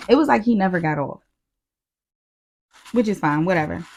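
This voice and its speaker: An American woman co-hosting a podcast, telling stories casually in slangy, profane, animated talk with quoted asides. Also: fairly low pitch, moderate pace. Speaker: podcast co-host. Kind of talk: casual chat, storytelling.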